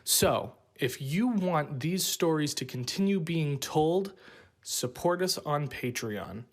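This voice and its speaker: A man's voice: a little high, animated, slow. A man reading a scripted appeal to listeners as the creator of a fiction podcast.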